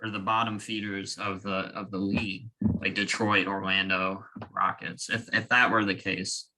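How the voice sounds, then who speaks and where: A young man co-hosting a casual sports podcast, talking in an animated, joking way with quick opinions. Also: low-pitched, low-quality recording. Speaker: podcast co-host.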